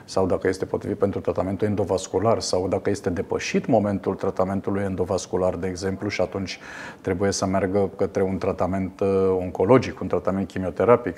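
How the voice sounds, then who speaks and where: A man in his fifties, a radiologist guest in a TV studio interview, explaining a procedure in a measured way with frequent fillers. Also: deep voice, flat delivery, darkish tone, slightly rough. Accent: Romanian. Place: television studio.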